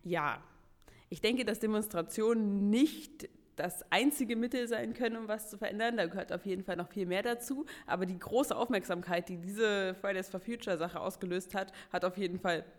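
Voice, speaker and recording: A woman giving a measured, clearly argued opinion in an interview. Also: mid-range voice.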